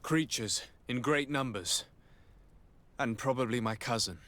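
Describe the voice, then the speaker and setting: An actor playing an earnest young nobleman in a video game, speaking formally and gravely as a resolute envoy. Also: medium pitch, a little slow, bright, forceful tone. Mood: grave warning. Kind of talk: dramatic video game dialogue.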